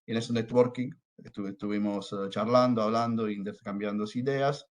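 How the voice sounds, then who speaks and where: A man's voice: fairly low pitch, fairly even intonation, moderate pace. A man from southern Italy speaking Spanish with an Italian accent, giving a hesitant conference talk over a video call. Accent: Italian, from Salerno, speaking Spanish with Argentine touches. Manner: hesitant, many fillers and restarts. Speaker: researcher and lecturer presenting.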